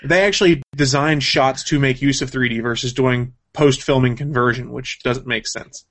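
A man with a middle-pitched voice chats casually as a show co-host. He talks in a geeky, explanatory way, unscripted and conversational. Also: fairly even intonation, normal speed, a bit bright. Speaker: co-host of a gaming and comics news show.

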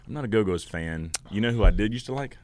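A man's voice: fairly low pitch, expressive, fast talker, darkish tone, slight background noise. A man co-hosting a casual podcast, teasing his co-host with playful questions. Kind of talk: casual banter.

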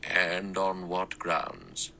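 A man voicing an elderly male judge with a small, clear, thin and sour voice, speaking slowly and measured. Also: low-pitched, bright, forceful tone, a little hiss or hum, exaggerated voice. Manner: slow, measured, dry.

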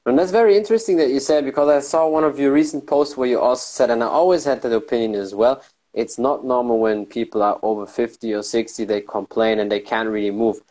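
A man's voice: mid-range voice, fast, dark tone. A man hosting a podcast interview, eager and full of compliments for his guest. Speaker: podcast host. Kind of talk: casual interview.

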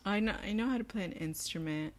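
Raspy young woman giving earnest advice. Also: medium pitch, quick pace. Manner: chatty, many filler words, earnest. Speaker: co-host of a faith podcast.

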